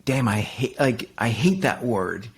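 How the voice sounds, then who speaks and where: A middle-aged man from Denmark, speaking as a guest in a reflective conversation. His voice sits at a middle pitch with a gravelly, slightly husky edge. He pauses, restarts and circles back as he searches for words. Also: lively intonation. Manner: halting, many restarts and fillers, candid. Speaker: interview guest.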